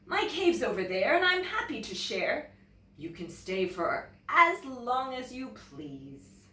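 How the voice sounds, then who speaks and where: A woman's voice: high voice, very animated, slow, pushed and bright, distant mic, pitched above the performer's own voice, exaggerated voice. A woman voicing a hungry male bear in a children's storybook, speaking plainly and emphatically. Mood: welcoming. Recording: roomy echo, away from the mic.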